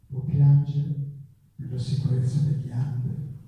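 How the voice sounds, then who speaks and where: A man reciting poetry as a stage performer, with deliberate, theatrical phrasing. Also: mid-range voice, little pitch movement, warm tone. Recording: distant, echoing, phone-like band-limited sound.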